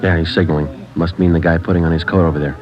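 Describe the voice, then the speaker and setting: An actor playing a terse police sergeant in a 1940s radio drama, talking in a clipped, deadpan, matter-of-fact way. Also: male voice, low voice, fast talker, close to an everyday voice. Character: terse adult male police sergeant narrating his case. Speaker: police detective and narrator. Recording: dull lo-fi 1940s radio broadcast with loud music.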